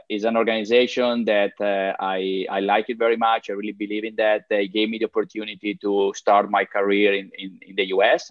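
A man in his forties with an Italian accent, answering interview questions haltingly as he searches for words. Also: on the low side, dry recording, lo-fi sound. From Italy. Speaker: interview guest, chamber of commerce president.

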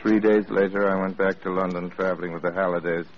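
A man narrating an old radio drama in a measured, wistful, theatrical voice. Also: deep voice, flat delivery. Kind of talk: 1940s radio acting. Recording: dull old radio broadcast with loud hiss.

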